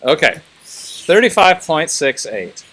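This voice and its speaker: A man's voice: fairly high pitch, sing-song, slow pace, pushed and bright, slightly rough, low-quality recording. A man teaching a class informally, thinking aloud and prodding students with questions.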